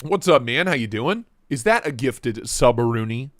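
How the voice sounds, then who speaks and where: A man's voice: mid-range voice, expressive, moderate pace, no room sound, studio quality. A man hosting a casual live stream, joking around and greeting viewers by name, his voice starting to go. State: losing his voice.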